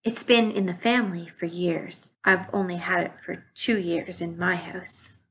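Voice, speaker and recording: A woman playing a reserved married witness at an 1890s trial, answering plainly and carefully in a breathy voice. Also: on the low side, unhurried, a bit bright, heavily acted, poor audio. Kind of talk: dramatic reading of court testimony.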